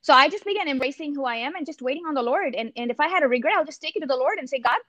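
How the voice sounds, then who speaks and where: High-voiced woman with an Indian accent. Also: lively intonation, rapid-fire, a bit bright, dry recording. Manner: earnest, thoughtful, frequent restarts. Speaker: campus ministry missionary. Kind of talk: reflective panel talk. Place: home room. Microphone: laptop webcam on a video call.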